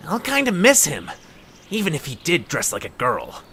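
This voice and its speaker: A woman playing a small, plain-spoken young traveller in an amateur audio drama, with expressive delivery. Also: low voice, moderate pace, exaggerated voice. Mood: wistful. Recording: noisy home recording.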